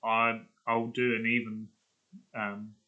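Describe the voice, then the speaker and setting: A man's voice: on the low side, completely flat delivery, slow pace, phone-quality sound. A man talking informally and thinking aloud in a casual vlog style. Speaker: video creator.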